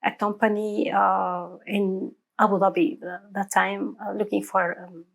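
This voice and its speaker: A woman's voice: mid-range voice, flat delivery, a little slow, vocal fry. A woman in her thirties from Tunisia, speaking English with an Arabic accent as an interview guest, hesitant and full of fillers, laughing often. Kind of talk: conversational interview.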